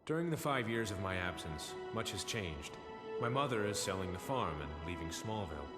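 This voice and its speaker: A man's voice: on the low side, very animated, normal speed. A man playing an earnest, noble superhero in a dramatic video game, with a solemn manner. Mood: reflective. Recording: loud music over the voice.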